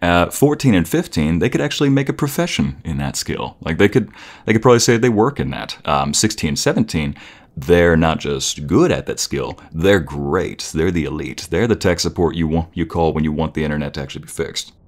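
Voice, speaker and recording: Man playing a low-voiced, animated dorky boyfriend. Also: fairly quick. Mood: enthusiastic, explaining. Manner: playful, teasing, enthusiastic, stammering and giggly. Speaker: audio roleplay performer. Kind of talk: intimate boyfriend roleplay, casual improvised-sounding chat.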